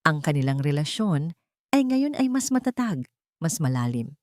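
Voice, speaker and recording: Low-voiced woman narrating a melodrama. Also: sing-song, normal speed, darkish tone, no room sound, clean studio sound.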